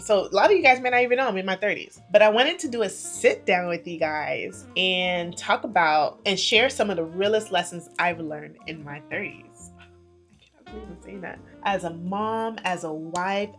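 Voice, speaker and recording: A woman in her thirties chatting casually to camera as a vlogger, playful and confiding, her loudness and pace rising and falling a lot. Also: mid-range voice. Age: 30s.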